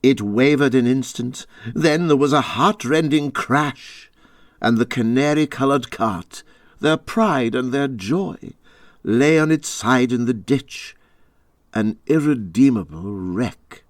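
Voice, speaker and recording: A man narrating a classic storybook as an audiobook reader, dramatically and with deliberate, expressive phrasing. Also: medium pitch, no room sound, clean studio sound.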